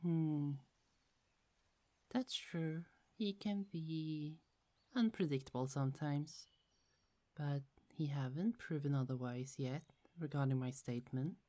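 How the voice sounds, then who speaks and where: A woman voicing a gentle, chatty young goddess in an ASMR roleplay, speaking softly with frequent little laughs. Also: low voice, takes it slowly. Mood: friendly. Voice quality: soft, half-whispered, giggly.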